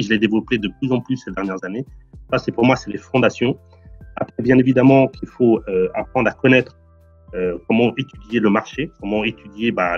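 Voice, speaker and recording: Earnest man from Portugal, husky voice. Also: lowish voice, a bit bright, highs cut off. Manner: earnest, repeats words, many fillers. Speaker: real estate investor guest. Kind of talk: interview answers.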